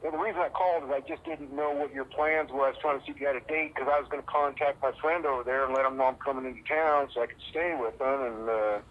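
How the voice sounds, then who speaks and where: A middle-aged man chatting casually over a recorded telephone call, halting and tentative in how he talks. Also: medium pitch, fairly even intonation. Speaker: caller in a personal phone conversation. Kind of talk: casual private phone chat. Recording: dull lo-fi phone call recording.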